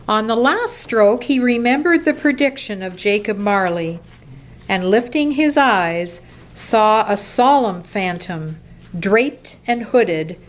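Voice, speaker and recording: A woman narrating a radio play in a measured, deliberate storytelling style. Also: on the high side.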